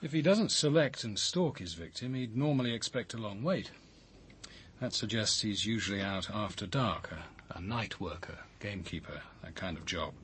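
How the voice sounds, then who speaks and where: Measured investigator, some background noise. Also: male voice, lowish voice, expressive, fairly bright tone. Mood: reasoning, wry. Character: thoughtful, cultured, analytical male police investigator. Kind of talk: radio drama acting.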